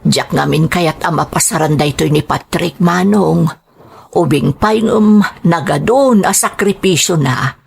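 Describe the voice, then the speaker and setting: A woman plays a worried, grateful Ilocano mother in a radio drama. Her voice is fairly low and husky, with a creaky edge. She speaks tenderly and earnestly. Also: unhurried, exaggerated voice. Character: humble, grateful middle-aged mother, worried and loving.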